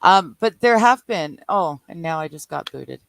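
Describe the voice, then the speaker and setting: A guest on a Discord call with a mid-pitched voice, chatty and hedging. They laugh often and keep the talk loose. The line sounds dry and close. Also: animated, normal speed, creaky, a bit bright, dry recording. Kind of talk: casual online chat. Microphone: remote call over Discord.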